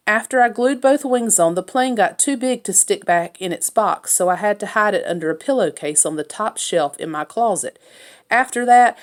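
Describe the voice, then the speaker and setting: Woman doing a read-aloud of a kids book, telling it in first person, medium pitch. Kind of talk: scripted narration of a children's novel, first person.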